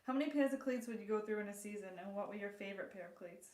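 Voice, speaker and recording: A woman's voice: medium pitch, very fast, a little mellow, distant mic. Teasing Boston woman, off-mic in a roomy space. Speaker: co-host reading chat questions.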